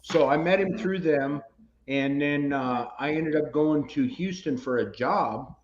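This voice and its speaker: A man's voice: on the high side, measured pace. A man in his fifties chatting casually and easygoing, a little rambling. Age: 50s. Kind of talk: casual group chat. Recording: dull, echoey, with faint noise.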